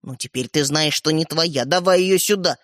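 A man's voice: fairly high pitch, moderate pace, a bit bright, no room sound, clean studio sound, close to an everyday voice. A man voicing an insistent, inquisitive little boy in a children's story, with a pressing, questioning manner. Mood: impatient.

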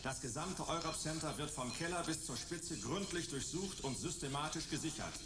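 A man playing an officious security announcer in a radio drama, giving formal, commanding orders over an echoing loudspeaker. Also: a little high.

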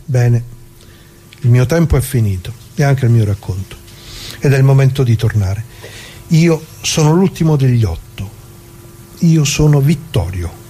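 Man acting a mellow, mournful old worker. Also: medium pitch, lively intonation, close to an everyday voice. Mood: solemn, resolute. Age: older. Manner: halting, repetitive, emphatic pauses, direct address to listeners. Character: dead retired working-class man, bitter, plain-spoken, mournful. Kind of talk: theatrical radio monologue.

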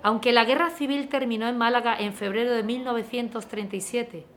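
Actress doing serious female teacher in an audio drama, measured, slightly high voice, faint room noise. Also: fairly even intonation, natural voice. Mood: grave, reflective. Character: grave woman teacher reflecting on forgotten war crimes. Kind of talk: dramatic monologue to pupils.

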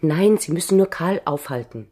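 Husky, dark-voiced, anxious woman. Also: on the low side, animated, moderate pace. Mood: insistent. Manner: urgent, pleading, secretive. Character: anxious, determined woman hiding a dangerous past. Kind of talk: radio drama acting.

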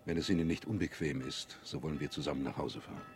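An actor in a German radio drama playing a formal, guarded royal prosecutor, grave and anxious. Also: male voice, rumbling low, steady tone, quick pace, soft background music.

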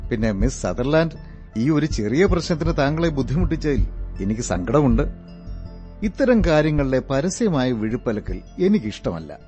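A man's voice: medium pitch, sing-song, moderate pace, dark tone, natural voice. An actor playing an evasive, self-important stepfather in a radio drama, formal and defensive, outwardly polite but easily rattled. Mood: politely defensive. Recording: dull lo-fi radio recording, music behind.

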